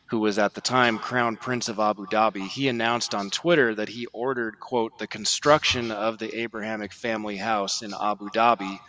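Methodical man with a lowish voice. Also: steady tone, moderate pace, hardly any pauses, bright, forceful tone, poor audio. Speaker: podcast guest, article writer. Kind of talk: conversational religious commentary with quotations.

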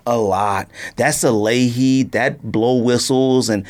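A man's voice: medium pitch, steady tone, takes it slowly. An American man co-hosting a casual podcast, bantering in slang and repeating himself for emphasis. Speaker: podcast co-host. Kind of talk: casual bantering chat about sneakers.

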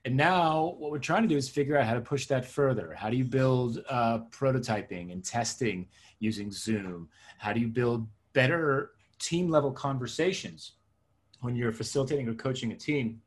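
Male interview guest, middle-aged, native Hebrew speaker raised in New Jersey. Husky edge, mid-pitched, reflective, repeats phrases for emphasis. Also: normal speed. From born in Israel, raised in suburban New Jersey. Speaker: interview guest, tech consultant and coach. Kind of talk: conversational interview answers.

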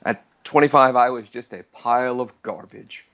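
A man's voice: mid-range voice, normal speed, a bit bright, dull audio. Rambling self-deprecating man in his forties. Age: 40s. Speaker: returning podcast guest. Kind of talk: casual chat.

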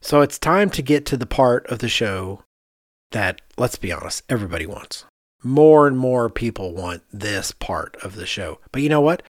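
A middle-aged man chats as a podcast host. His mid-pitched voice is recorded very dry and close. He talks candidly and emphatically, repeating phrases for effect. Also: animated, moderate pace, no room sound. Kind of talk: casual podcast chat.

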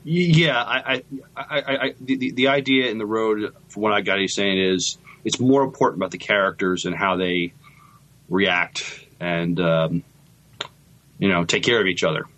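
A man co-hosting a casual sci-fi podcast in a low-key, somewhat hesitant way. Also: moderate pace, fairly bright tone. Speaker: podcast co-host.

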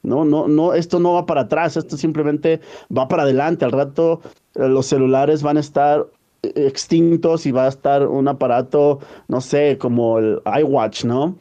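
A man with a mellow, slightly high voice, speaking earnestly in a relaxed discussion. He repeats words as he looks for the right one. The sound is dull and lo-fi. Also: normal speed. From Mexico. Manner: earnest, repeats words while searching for them. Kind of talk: casual discussion.

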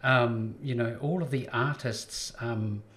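A man's voice: mid-range voice, fairly even intonation, slow, fairly bright tone. A man hosting an interview show, asking questions with upbeat, encouraging interjections. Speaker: show host.

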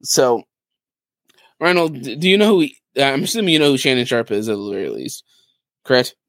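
A man's voice: medium pitch, big pitch swings, a bit bright, no echo. Casual podcast guy, close dry sound. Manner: slangy, jokey, quick to laugh. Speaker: podcast host.

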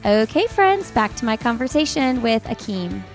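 A woman's voice: on the high side, sing-song, moderate pace. A woman hosts a podcast in a friendly, easy way, asking questions with casual fillers. She also reads her own promotional breaks. Faint music plays behind her voice. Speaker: podcast host. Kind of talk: podcast interview and ad read.

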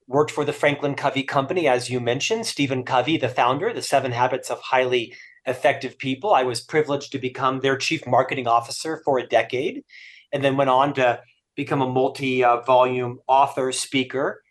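A man's voice: medium pitch, steady tone, brisk. A middle-aged man from Florida with a stutter, speaking as an interview guest and author with upbeat, self-deprecating humor. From Florida, USA. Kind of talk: interview conversation.

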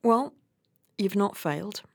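A woman hosting an interview podcast, asking questions in a lively way. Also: mid-range voice, slow pace, vocal fry, slightly rough, no room sound, clean studio sound. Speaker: podcast host.

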